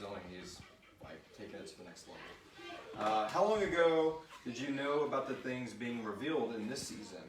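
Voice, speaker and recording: Male host, casual and rambling, live group chat feel, distant echoey sound with clear background noise. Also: fairly low pitch. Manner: rambling, informal, thinking aloud. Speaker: host and show creator reading listener questions.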